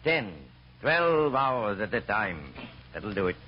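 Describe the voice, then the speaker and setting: Flustered low-voiced husband, old radio. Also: male voice, big pitch swings, takes it slowly. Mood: deadpan. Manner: hesitant, stammering, dryly sarcastic. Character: flustered, put-upon middle-aged husband posing as a mystic. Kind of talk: 1940s radio comedy acting. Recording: dull, hissy old radio recording.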